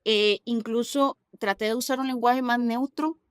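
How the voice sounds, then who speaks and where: A woman's voice: on the high side, fairly even intonation, normal speed, clear, resonant tone, no echo, studio quality. A woman with a Costa Rican accent, a novelist chatting as a guest in an interview and dropping local slang. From Costa Rica. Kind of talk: casual interview conversation.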